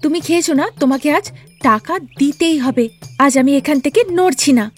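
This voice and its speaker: Stingy young sweet-selling wife, cartoon, woman performer: high, dramatic, scolding, music underneath. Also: moderate pace, close to an everyday voice. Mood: indignant scolding. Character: stingy, scolding young daughter-in-law who sells sweets. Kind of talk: cartoon story character acting. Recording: music behind the voice.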